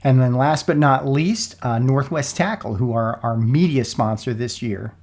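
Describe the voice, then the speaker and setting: A man hosts a relaxed sponsor podcast in a mid-pitched voice. He sounds a little froggy, as if getting over a cold. His delivery is informal and folksy. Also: a little slow. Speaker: podcast host. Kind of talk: casual sponsor interview podcast.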